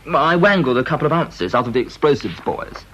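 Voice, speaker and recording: Husky, animated young British researcher. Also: male voice, mid-range voice, fairly quick, highs cut off. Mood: earnest, keen. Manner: apologetic, earnest, hesitant with superior. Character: eager, deferential junior researcher, flustered but keen. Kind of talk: British radio drama acting.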